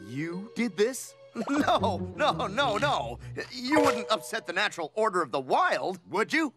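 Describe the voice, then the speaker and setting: A man's voice: high voice, big pitch swings, measured pace. A man voicing a sensible, articulate talking ape in a cartoon, fussy and exasperated, given to scolding. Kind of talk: cartoon voice acting. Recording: cartoon music underneath.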